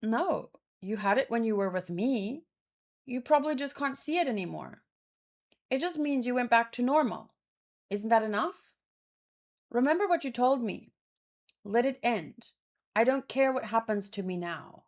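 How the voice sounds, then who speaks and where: A woman reading dialogue aloud in an informal, expressive way, mixed with casual commentary of her own. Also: on the high side. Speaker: manga read-along host.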